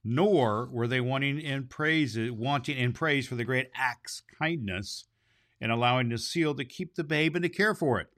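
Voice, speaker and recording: A man's voice: medium pitch, moderate pace, a bit bright. A man hosting a storybook reading, narrating expressively and stumbling slightly over some words. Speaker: storybook reader and podcast host. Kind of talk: storybook narration read aloud.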